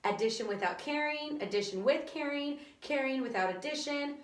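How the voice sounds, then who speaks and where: A woman's voice: high voice, expressive, moderate pace, echoey room, voice pushed up. A strict, dry schoolteacher, as a woman reads her. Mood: stern. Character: stern strict female teacher.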